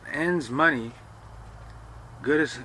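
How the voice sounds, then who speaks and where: A man's voice: on the high side, slow, sharp tone, a little hiss or hum, voice pushed up. A man voicing a tired servant who grumbles in Southern dialect. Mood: grumbling.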